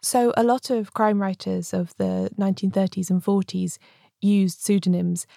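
A woman explains things in a measured way. Her voice is gravelly and mellow. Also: mid-range voice. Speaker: podcaster on crime fiction. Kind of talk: interview explanation.

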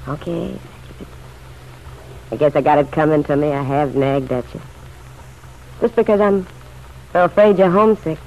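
A woman's voice: sits low, normal speed, long pauses, a little mellow. An actress playing an anxious, world-weary working-class woman in an old radio drama, with pleading, colloquial speech. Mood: anxious, bitter. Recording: muffled, noisy old radio recording.

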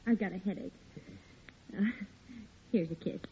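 An actress playing a suspicious, long-suffering American housewife with a pointed manner in an old radio sitcom. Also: female voice, lowish voice, normal speed, gravelly, slight background noise. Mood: weary, softened. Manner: pointed, exasperated. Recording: tinny vintage radio recording.

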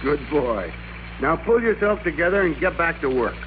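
An actor playing a bossy, excitable office worker in an old-time radio drama, with a domineering, emphatic, theatrical delivery. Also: male voice, a little high, moderate pace. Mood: stern, patronizing. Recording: noisy, dull old radio recording.

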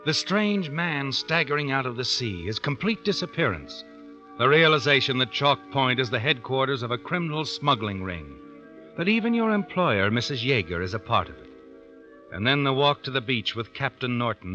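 An actor playing an all-knowing, sinister narrator in an old radio suspense drama, ominous and insinuating. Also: male voice, medium pitch, big pitch swings, normal speed, pushed and bright, faint music behind. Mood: insinuating, suspenseful. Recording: muffled vintage radio recording.

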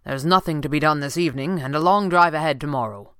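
A woman voicing a composed, wry gentleman in an audiobook story, speaking with dry, measured formality. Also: deep voice, smooth, dry recording. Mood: relaxed, wry. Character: wry, composed gentleman employer, adult man.

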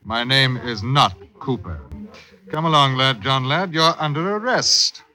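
An actor playing a stern, sly police captain of old London in a radio drama, deliberate and wry, gloating over his prey. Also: medium pitch, animated, bright, forceful tone. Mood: gloating, commanding. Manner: deliberate, wry, addresses others as lad. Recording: noisy old radio recording.

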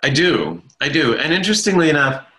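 A man being interviewed, recalling his past in a thoughtful, halting way with frequent restarts and a self-deprecating humor. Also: on the high side, sing-song, a bit bright. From Italian-speaking family in America. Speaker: interview guest, stage performer. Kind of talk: reflective conversational storytelling.

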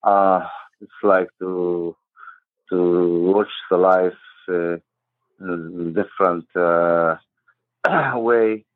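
A man's voice: low-pitched, steady tone, drawn-out words, long pauses, dry recording. A Palestinian man with an Arabic accent, a native Arabic speaker, talking hesitantly in simple English as an interview guest and searching for words. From east of Jerusalem, Judean desert. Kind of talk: halting personal account in conversation. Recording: muffled narrow-band phone-like line.

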